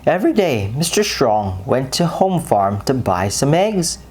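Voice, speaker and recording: Very low-voiced woman reading a bedtime story. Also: very animated, a little mellow, breathy edge. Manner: dramatic, with deliberate pauses and emphasis. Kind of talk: expressive children's bedtime story narration.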